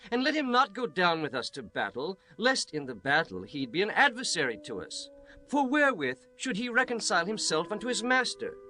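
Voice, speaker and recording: Guy doing an angry suspicious war prince, sharp voice swooping all over, bible audio drama. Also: high-pitched, exaggerated voice. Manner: indignant, declamatory. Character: angry, suspicious warlord prince demanding dismissal. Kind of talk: dramatized scripture audiobook acting.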